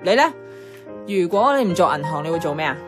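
A woman's voice: lowish voice, animated, quick pace, natural voice. An actress playing a blunt, bossy young career woman in a radio drama, with a colloquial Hong Kong Cantonese way of speaking. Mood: reflective, unsure. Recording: clear music playing behind the voice.

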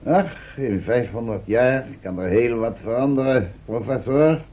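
A man's voice: mid-range voice, measured pace, dull audio, heavy background noise, natural voice. A man playing a professor's sensible, questioning companion in a radio play, on a thin old recording. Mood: practical. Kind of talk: vintage Dutch radio drama acting.

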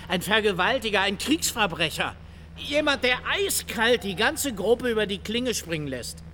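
An actor playing an irascible, overbearing film director who scolds and stammers for emphasis in a radio drama. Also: male voice, sits high, sharp tone, a little hiss or hum.

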